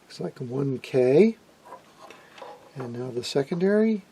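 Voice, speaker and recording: Middle-aged male hobbyist presenter, very warm and soft-edged with a gravelly creak, matter-of-fact workshop demo. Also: medium pitch, expressive, slow pace, slight background noise, poor audio. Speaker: vintage radio hobbyist presenter. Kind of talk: casual hands-on demonstration narration. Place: indoor workshop, off camera at a workbench.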